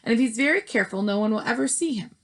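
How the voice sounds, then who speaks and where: A woman's voice: on the high side, animated, very fast, voice pushed up. A woman voicing a clever young boy who has been turned into a mouse, speaking eagerly in a storybook read-aloud.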